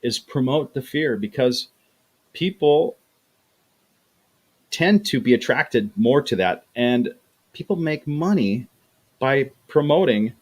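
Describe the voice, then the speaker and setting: Casting note: middle-aged man, mid-pitched, easygoing livestream host, talks in loose restarts. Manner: chatty, repeats words, acts out quoted voices. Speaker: livestream host reading chat. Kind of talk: casual livestream chat.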